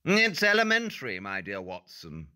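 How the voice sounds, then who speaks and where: An actor plays a brilliant, self-assured male detective in an audio drama. His voice is bright and forceful, swinging widely in pitch as he lectures with precise emphasis. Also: wildly swooping pitch, moderate pace, exaggerated voice.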